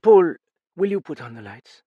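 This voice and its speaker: A man's voice: very animated, breathy edge, no echo, narrow, tinny audio, exaggerated voice. Brittle, weary widow, pained and intense, played by a male reader, dry read. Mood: anguished. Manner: raised pitch, halting, pained. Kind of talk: audiobook character voice.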